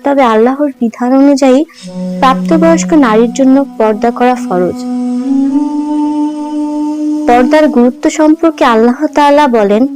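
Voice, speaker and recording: A woman voicing a pious, gentle young elder sister in a cartoon, explaining things politely and carefully. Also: high-pitched, moderate pace, over music, close to an everyday voice, poor audio. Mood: respectful, devout. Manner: polite, didactic, careful enunciation. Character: pious, gentle older sister, a young girl who teaches. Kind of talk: cartoon dialogue with instructive explanations.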